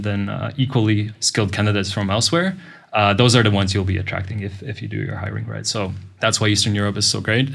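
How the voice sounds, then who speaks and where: Middle-aged man with a deep, gravelly voice, explaining calmly as an interview guest. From Latvia. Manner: measured, explanatory, frequent "and, uh" restarts. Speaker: podcast guest. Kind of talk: casual interview talk.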